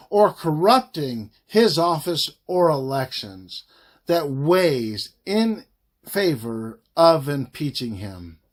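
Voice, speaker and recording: A man reading a formal legal document aloud in a measured, emphatic way, pausing phrase by phrase. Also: on the high side. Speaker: reader of a government report.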